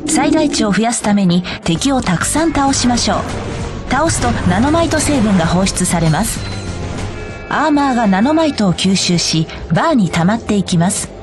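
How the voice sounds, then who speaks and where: A woman's voice: a little high, big pitch swings, normal speed, music in the background. A woman voicing a polite, cheerful corporate guide, reading scripted tutorial narration for a game. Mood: polite, bright. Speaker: tutorial announcer.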